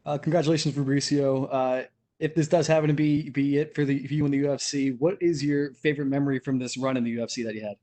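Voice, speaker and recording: Quick-talking guy reporter firing off questions, trips over words a bit. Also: medium pitch, little pitch movement, mellow. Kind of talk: press conference question.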